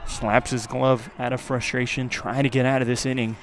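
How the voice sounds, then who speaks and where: A man giving live play-by-play baseball commentary for radio, his voice lifting on the action and settling between pitches. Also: little pitch movement, normal speed, faint music behind. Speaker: play-by-play announcer. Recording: clear outdoor ambient noise. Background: outdoor ballpark ambience.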